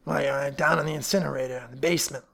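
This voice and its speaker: Picture a man performing a thick-set, irritable building superintendent from a detective story. He growls bluntly in a gravelly voice and grumbles in rough slang. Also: medium pitch, steady tone, racing, a bit bright. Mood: puzzled.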